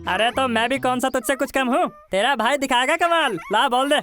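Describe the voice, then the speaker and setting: A woman's voice: sits high, brisk, a bit bright. A woman voicing a stubborn, sleepy little cartoon boy who whines and exclaims defiantly in a comic dub. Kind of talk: comic cartoon dubbing in Hindi. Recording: music bed under the voice.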